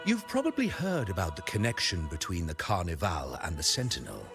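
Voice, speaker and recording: An actor playing a pious, lofty high-ranking cleric in a video game, formal, measured and preachy. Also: male voice, wildly swooping pitch, heavily acted. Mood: solemn, preaching. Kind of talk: theatrical video game voice acting. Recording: music behind the voice.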